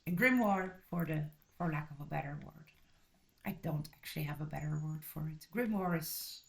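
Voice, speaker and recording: A woman's voice: low-pitched, moderate pace, creaky. A woman from Belgium giving a casual, rambling book review, often correcting herself mid-sentence and wandering off on tangents, with a blunt, direct manner. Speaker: channel host reviewing a book.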